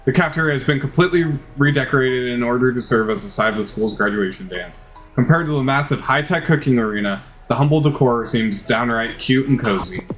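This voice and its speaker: A man's voice: medium pitch, a little fast. Male game-playthrough narrator, mid-range voice, theatrical read with small stumbles, music underneath. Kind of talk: dramatized reading of game text. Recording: music behind the voice.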